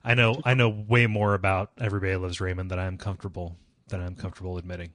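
Deep-voiced man chatting on a podcast. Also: little pitch movement, very fast, a bit bright. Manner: laughs often, tells stories with acted-out quotes. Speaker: podcast co-host. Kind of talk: casual chat.

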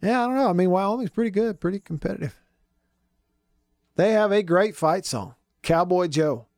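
A man hosting a sports radio talk show from a studio, hoarse today, chatty and jokey. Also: high voice, expressive, moderate pace, a little mellow, no echo. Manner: chatty, jokey, lots of fillers.

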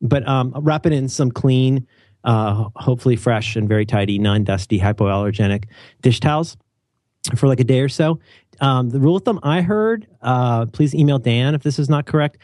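A man hosting a casual chat, animated and opinionated, joking often and restarting his sentences. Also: mid-range voice, moderate pace, dry recording, clean studio sound. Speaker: podcast host.

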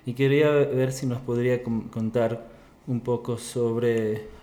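A man interviewing a guest on a podcast, asking his questions in a casual, halting way. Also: medium pitch, steady tone, mellow. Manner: hesitant, halting. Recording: echoey room with background noise.

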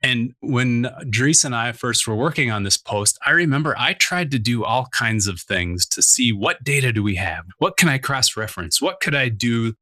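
A man's voice: mid-range voice, lively intonation, moderate pace, few pauses, pushed and bright, no echo. A man from Minnesota speaking reflectively as a guest on a conversational panel. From Minnesota, USA. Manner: reflective, thoughtful, some self-restarts.

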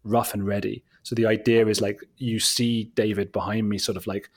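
A man with a husky voice, a guest telling casual, rambling anecdotes in a conversational, informal way, often interrupting himself. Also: on the low side, little pitch movement, normal speed. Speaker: interview guest, documentary maker.

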